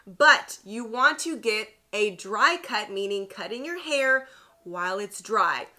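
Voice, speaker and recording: A woman's voice: medium pitch, slow. A woman in her thirties giving chatty, emphatic advice straight to camera in a beauty vlog, recorded in a plain indoor studio. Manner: chatty, emphatic, lively asides. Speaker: beauty vlogger.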